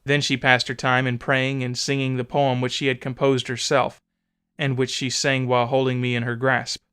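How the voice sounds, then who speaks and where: A man narrating an old memoir as a dramatic audiobook, solemn, measured and theatrical. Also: medium pitch, monotone, a bit bright, clean tone.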